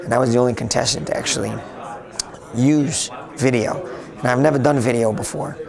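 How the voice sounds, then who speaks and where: A man being interviewed casually at a convention, talking with a raspy, slightly hoarse voice and rambling with lots of restarts. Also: medium pitch, fairly even intonation, fairly quick. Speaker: interviewee, tech blogger. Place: busy convention floor. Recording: noisy crowded convention hall. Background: crowd chatter.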